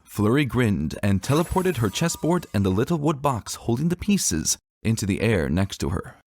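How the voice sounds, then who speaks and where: A man reading a scripted first-person story in an expressive, reflective manner, as a dramatic narrator. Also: medium pitch, moderate pace.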